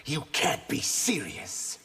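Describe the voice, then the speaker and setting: A man voicing an earnest, bitter reptilian warrior in video game fight banter, with a raspy, gravelly voice. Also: slow pace, sharp tone, soft background music. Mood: bitter, resentful.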